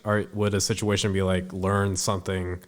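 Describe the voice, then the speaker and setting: A young man in his twenties with a deep voice, a podcast guest speaking earnestly as he tells stories in a casual interview. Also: flat delivery. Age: 20s. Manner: earnest, storytelling, halting.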